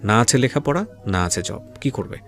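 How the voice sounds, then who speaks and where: A man's voice: mid-range voice, expressive, moderate pace, fairly bright tone, faint music behind. A man hosting a life-story radio show, earnest and preachy as he frames the guest's story.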